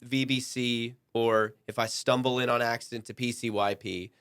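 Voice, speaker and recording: A man's voice: medium pitch, steady tone, normal speed, a bit bright, smooth. Man hosting a casual podcast discussion. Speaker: podcast host.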